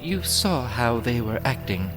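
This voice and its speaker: A man's voice: mid-range voice, very animated, long reverb tail, over music, voice pushed up. A man voicing a cautious male elf adventurer who speaks formally, for a fantasy audio drama. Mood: wary. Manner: formal, measured phrasing.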